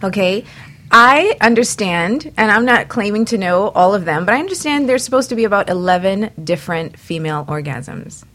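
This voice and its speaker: A woman's voice: medium pitch, animated, normal speed, a bit bright. A woman hosting a talk show with playful introductions and banter, in a flirty, teasing manner.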